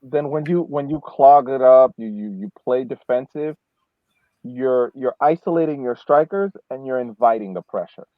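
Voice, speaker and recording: A man's voice: medium pitch, normal speed, no room sound, narrow, tinny audio. A man co-hosting a sports podcast, chatting casually about soccer and often repeating words as he starts his sentences. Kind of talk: casual soccer analysis chat.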